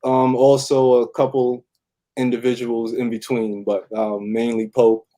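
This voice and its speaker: A man's voice: medium pitch, level tone, measured pace, warm tone, no room sound. A man speaking casually as a guest in an interview. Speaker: guest, voice actor and musician.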